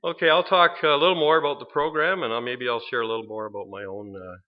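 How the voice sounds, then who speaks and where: A man's voice: medium pitch, steady tone, fast talker, dull audio, slight background noise. A man giving an earnest, plain-spoken church talk about a ministry program, with touches of dry humor. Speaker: ministry program staff, discipline head.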